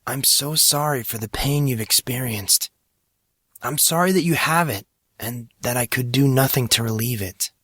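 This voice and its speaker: Male narrator as teenage schoolboy, earnest, deliberate, perceptive, slightly higher pitch, dry story recording. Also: fairly bright tone, dry recording, close to an everyday voice. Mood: tender. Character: teenage schoolboy, perceptive, playful, hurt but kind. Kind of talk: audiobook character dialogue.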